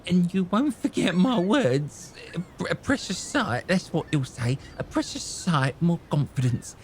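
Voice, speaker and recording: A man's voice: high-pitched, sing-song, measured pace, slight background noise. An actor playing a half-crazed old male castaway who stammers, rambles and repeats himself. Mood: wheedling. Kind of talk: radio drama acting.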